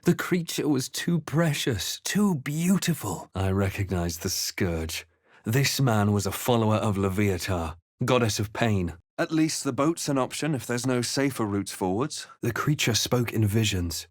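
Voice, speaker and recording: A man voicing a brooding fantasy adventurer in a video game, speaking in a measured, earnest, theatrical way. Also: fairly low pitch, lively intonation, no echo, clean studio sound. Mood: solemn, tense. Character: brooding male fantasy adventurer hero, narrating his visions and deeds. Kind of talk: dramatic video game voice acting.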